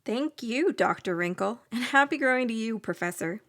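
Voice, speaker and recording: Young innkeeper heroine, played by a woman, scripted first-person storytelling, measured and gentle, earnest botany graduate. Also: on the high side, animated. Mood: warm, pleased. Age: young adult.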